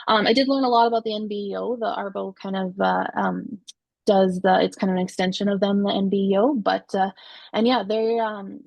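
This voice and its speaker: A woman's voice: mid-range voice, flat delivery, normal speed, vocal fry, no room sound, lo-fi sound. A young woman, an optometrist and exam board member from Canada, answering students' questions on a video call in a friendly, informal way. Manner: friendly, informal, with laughs and fillers.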